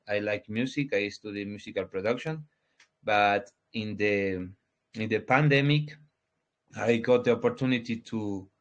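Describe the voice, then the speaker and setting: Halting man in his twenties. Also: fairly low pitch. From Ecuador. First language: Spanish. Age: 20s. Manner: halting, restarts often, searches for words. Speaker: guest, crypto project founder. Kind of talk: casual interview answers. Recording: dull lo-fi audio.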